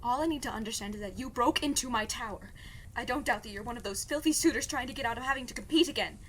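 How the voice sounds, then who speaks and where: High, fiery young princess played by a woman. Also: fast talker, fairly bright tone, a little hiss or hum, close to an everyday voice. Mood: angry. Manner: blunt, exasperated. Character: defiant, fiery young princess, impatient and brave. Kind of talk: scripted audio comedy acting.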